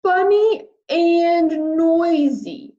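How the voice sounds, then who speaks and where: A woman voicing a large, friendly blue whale in a children's storybook, with drawn-out, emphatic delivery. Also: very high voice, mellow, pitched above the performer's own voice, full character voice. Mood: helpful, confident. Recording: roomy echo, slightly dull sound.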